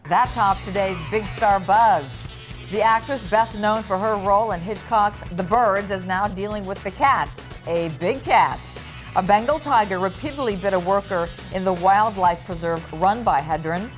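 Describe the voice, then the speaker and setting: A woman's voice: mid-range voice, moderate pace, poor audio. Woman newscaster with music underneath. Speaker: TV news anchor. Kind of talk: scripted newscast delivery.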